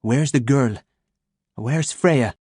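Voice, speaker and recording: A man voicing a cold, commanding female agent with a soft, purring French accent, read in a dry, close recording. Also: mid-range voice, takes it slowly, husky, no echo.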